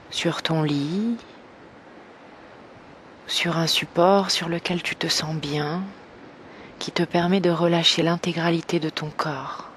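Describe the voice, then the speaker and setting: A woman guiding an evening meditation slowly and gently, in a soft, breathy voice that nears a whisper, with long pauses. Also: on the low side, slight background noise. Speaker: meditation guide. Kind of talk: guided evening meditation, yoga nidra.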